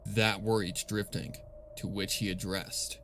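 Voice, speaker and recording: A man with a fairly low voice narrates a dark story from a script. His delivery is measured and solemn, over faint background noise. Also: little pitch movement. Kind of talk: scripted fan fiction narration.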